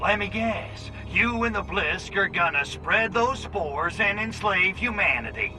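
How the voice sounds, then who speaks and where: A brash crude little alien invader from a video game, sarcastic, pretty high pitched for a guy, music behind. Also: animated, measured pace, poor audio. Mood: weary sarcasm. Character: cocky, crude, swaggering male alien invader. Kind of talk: video game cutscene acting.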